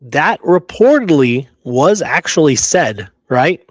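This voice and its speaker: A man's voice: fairly high pitch, sing-song, slow, dry recording. A man co-hosting a history talk show, chatting casually and emphatically, often checking that his listener agrees. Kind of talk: casual chat about Western history and films.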